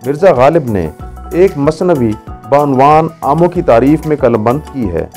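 A man's voice: mid-range voice, lively intonation, normal speed, a little mellow. A man reading scripted narration. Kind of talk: scripted narration with recited Urdu poetry. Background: music.

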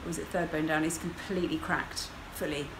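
Hushed woman vlogging in a hospital. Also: low-pitched, steady tone, brisk, background noise. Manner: candid, anxious, talks to camera in a hushed voice. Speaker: sailing vlogger. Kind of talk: casual vlog to camera. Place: hospital rooms and corridors, indoors. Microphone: phone camera at arm's length. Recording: roomy sound with loud music behind.

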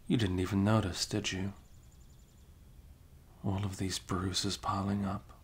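A man's voice: little pitch movement, measured pace. A young man playing a sleepy, caring, teasing best friend in an intimate ASMR roleplay, murmuring drowsily in casual slang. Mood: tender concern. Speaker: audio roleplay performer. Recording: low rumbling background noise. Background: faint rumble and blanket noises.

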